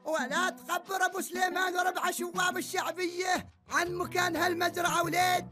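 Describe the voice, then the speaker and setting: A woman voicing a bossy middle-aged mother in a cartoon, with an Emirati Arabic accent, shouting orders. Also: very high voice, flat delivery, fairly quick, soft background music. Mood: scolding. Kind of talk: Gulf Arabic cartoon dub.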